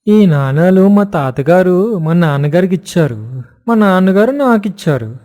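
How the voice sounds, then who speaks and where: A man playing a sly, dishonest villager in an animated moral story, feigning innocence and nervously evading. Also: high-pitched, measured pace.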